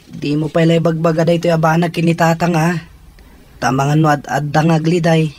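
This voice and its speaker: Man acting as respectful young son, protective big brother, Ilocano accent, high voice, radio drama. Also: flat delivery, fairly quick, darkish tone, close to an everyday voice. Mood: cautioning.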